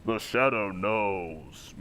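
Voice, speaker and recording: A man's voice: on the low side, pushed and bright, slight background noise, exaggerated voice. A man playing a sinister, mysterious crime fighter, drawing out his words ominously in old-time radio style. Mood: sinister, gloating. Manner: ominous, drawn-out delivery.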